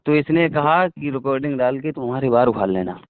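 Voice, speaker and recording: A man's voice: medium pitch, animated, fairly quick. A man talking on a phone call, insistently justifying himself and asking to be heard out. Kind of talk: phone conversation. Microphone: telephone. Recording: tinny, dry phone call recording.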